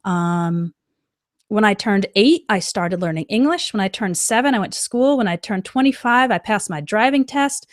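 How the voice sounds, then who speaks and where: Woman, English teacher, live lesson, slow clear example sentences, dry close mic. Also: medium pitch, lively intonation, no echo.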